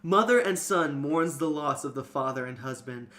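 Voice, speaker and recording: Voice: medium pitch, moderate pace, a bit bright. A podcast host reading a fantasy novel aloud and bantering casually, prone to giggling. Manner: giggly, breaking into laughter. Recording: music behind the voice.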